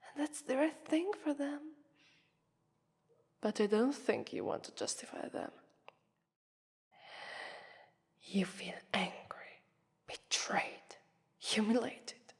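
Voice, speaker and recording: A haughty female vampire , acted by a woman, speaks in long, cavernous reverb. Her Italian-accented voice is slightly high and husky, often whispered. She taunts with condescending, predatory superiority, in the manner of an ASMR roleplay. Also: animated, takes it slowly, heavily acted. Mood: mocking, taunting.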